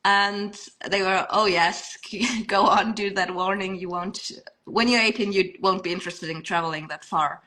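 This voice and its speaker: Bright-voiced young woman, chatty guest. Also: medium pitch, fairly even intonation, normal speed, few pauses, rough recording. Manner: chatty, laughs often, many fillers and restarts. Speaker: podcast guest. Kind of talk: casual podcast chat.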